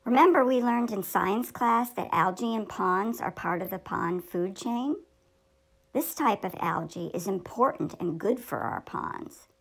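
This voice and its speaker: Puppet show role: studious fifth-grade girl played by a woman, instructive and earnest, middle pitch with noticeable creak. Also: unhurried, a bit bright, rough recording. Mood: explaining. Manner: explanatory, instructive. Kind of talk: scripted puppet show dialogue.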